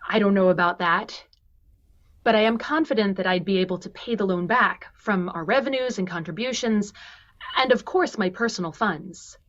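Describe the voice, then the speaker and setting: A woman's voice: medium pitch, normal speed, a bit bright, muffled recording, lightly acted. A woman playing an earnest, unsure heiress in an online table read of a stage musical, recorded over a video call. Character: earnest, unsure adult banker's daughter.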